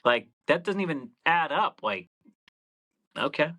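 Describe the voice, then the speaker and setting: Man, late 30s, faint Boston accent, bright mid-pitched voice, rambling casual guest. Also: unhurried. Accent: slight Boston. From Massachusetts (Cape Cod). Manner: rambling, heavy filler "like", animated quotes. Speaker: radio programmer, podcast guest. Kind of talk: casual podcast conversation.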